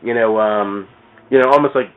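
A man chatting casually on a comics podcast in a rambling, halting way, often repeating words as he goes. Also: on the low side. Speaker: podcast speaker discussing comics. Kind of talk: casual chat. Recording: thin phone-like radio sound.

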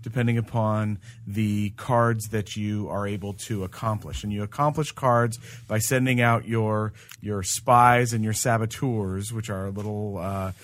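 A man hosting a casual review chat, explaining things haltingly and often groping for the right word. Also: on the low side, steady tone, fairly bright tone, dry recording. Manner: hesitant, halting explanations that grope for words. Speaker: podcast host reviewing games.

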